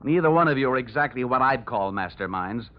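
A man's voice: mid-range voice, expressive, a little fast, close to an everyday voice. A man playing a tough, wisecracking insurance investigator, narrating and talking in a punchy, sardonic old-time radio detective style. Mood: sardonic, firm. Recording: dull old radio recording, loud music.

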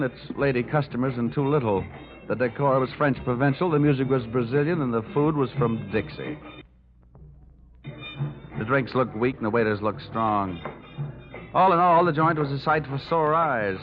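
An actor playing a wisecracking, hard-boiled private investigator in an old radio drama, with a wry deadpan delivery. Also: male voice, medium pitch, normal speed, lightly acted. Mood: wry narrating. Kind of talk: old-time radio detective drama, narrated and acted. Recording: muffled vintage radio recording with music.

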